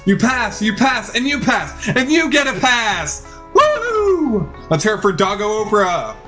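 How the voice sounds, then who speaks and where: A man's voice: sits high, sing-song, unhurried, pushed and bright, pitched above the performer's own voice, full character voice. A man voicing an exuberant dog who is a chef and DJ, with a showy announcer's delivery in a game. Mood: wildly excited. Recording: music behind the voice.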